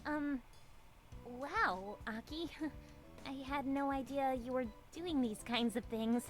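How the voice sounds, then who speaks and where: A woman's voice: high-pitched, slow. A woman playing a playful, teasing young childhood friend in a visual novel. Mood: amused. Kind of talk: visual novel character acting. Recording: music behind the voice. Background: game music.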